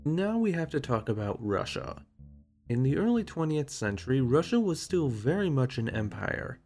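Male narrator, mid-pitched with gravelly fry; scripted explainer with wry asides; faint guitar bed. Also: a little mellow.

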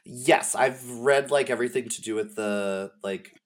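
A man speaking as a podcast guest in casual, halting, informal conversation about game lore, with slang and some swearing. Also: medium pitch.

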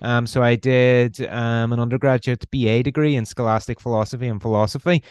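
A man in his forties with a Belfast accent talks through philosophy as an interview guest. He pauses a lot, filling the gaps with ums and restarting sentences. His voice sounds dry and close, with little room around it. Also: mid-range voice, fairly even intonation, darkish tone, clean tone, no room sound. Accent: Northern Irish, Belfast. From Northern Ireland. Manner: hesitant, many ums and restarts. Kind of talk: conversational explanation.

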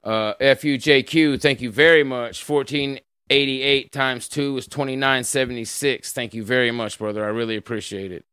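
A man hosting a livestream show, chatting casually with a co-host and reading out donations. He swears a lot, laughs often and trails off quietly at the end of sentences. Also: medium pitch, steady tone, moderate pace, few pauses, clear, resonant tone, no room sound. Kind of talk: casual chat.

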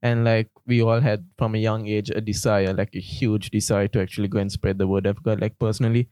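A young man from Sri Lanka co-hosts a relaxed chat, filling his talk with "like". His voice sits a bit low and mellow. The sound is dry and close. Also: brisk, clear, resonant tone, no room sound. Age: young adult. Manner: relaxed, uses "like" a lot. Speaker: podcast co-host. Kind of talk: casual chat.

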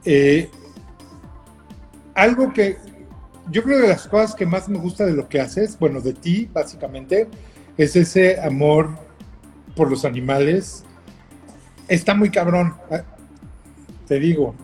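A man's voice: on the high side, lively intonation, takes it slowly, pauses often, a little mellow, soft background music, rough recording. A man with a Mexican accent hosting a casual chat show, teasing and joking with slang. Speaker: host.

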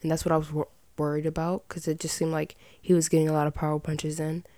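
A young woman with a slightly raspy voice and some vocal fry at sentence ends, chatting casually and giving her opinions as a podcast host. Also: low-pitched, monotone, fast, warm tone, dry recording. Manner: informal, rambling, opinionated. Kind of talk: casual chatty solo commentary.

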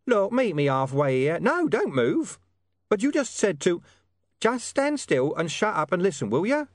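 Man putting on a high, shifty talking-dog informant. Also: big pitch swings, fairly quick, dry recording, clean studio sound. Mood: menacing, impatient. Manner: streetwise, evasive, menacing slang. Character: shady, wheedling talking dog posing as mysterious informant.

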